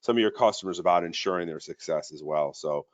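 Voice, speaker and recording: A man's voice: low voice, quick pace, highs cut off. A man co-hosting a sales webinar in an upbeat, repetitive way with many fillers. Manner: upbeat, repeats words, fillers.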